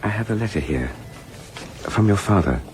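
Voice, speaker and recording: An actor playing a genial middle-aged father in a radio drama, measured, courteous and formal. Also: on the low side, expressive, music in the background, natural voice. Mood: hesitant. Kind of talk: dramatic radio play acting.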